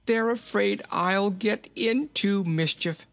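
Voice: medium pitch, takes it slowly, gravelly, pitched above the performer's own voice. A narrator voicing a jolly, fat little king from a storybook, chuckling, exclaiming and repeating himself merrily. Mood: cheerful.

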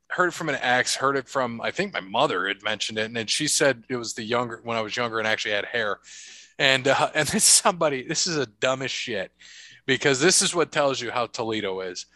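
A man's voice: mid-range voice, sharp tone, no room sound. A man hosting a casual chat, rambling through stories and laughing often. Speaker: podcast host.

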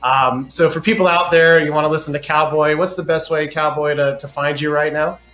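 A man's voice: on the high side, fairly even intonation, brisk, fairly bright tone, roomy sound. A man hosting an interview, chatting casually and encouraging his guest. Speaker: interview host.

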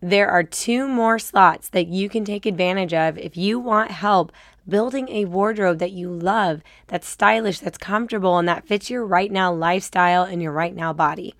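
A woman hosting a casual faith and style podcast, slightly raspy from a cold, upbeat and encouraging. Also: mid-range voice, moderate pace, no room sound, clean studio sound. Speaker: podcast host and style coach.

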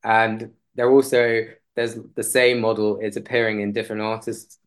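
A man's voice: lowish voice, level tone, normal speed. A man chatting casually as an interview guest, in an informal, tentative, loosely phrased way. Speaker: podcast guest, playwright. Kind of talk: casual chat.

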